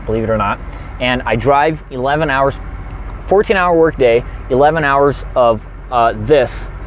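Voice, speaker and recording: Upbeat truck-driving man hosting a casual live chat, mid pitch, faint road noise. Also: rough recording. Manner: energetic, chatty, fast-talking. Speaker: truck driver livestreaming. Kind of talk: casual livestream chat reading viewer comments.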